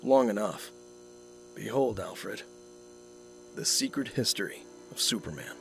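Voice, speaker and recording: An actor playing a wealthy, guarded, dry-witted man in an audio drama, speaking in terse, measured lines. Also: lowish voice, animated, gravelly, fairly bright tone, a little hiss or hum, full character voice. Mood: dry, intent.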